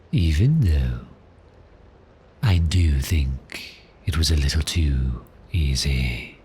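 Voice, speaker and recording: A suave, predatory male vampire, acted by a man for an ASMR roleplay, speaks in an extremely low voice. He draws his words out very slowly, with seductive, taunting menace and breathy phrase endings. Faint noisy ambience sits behind him. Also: warm tone, full character voice. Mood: gloating menace. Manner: slow, deliberate, seductive, taunting. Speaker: audio roleplay performer.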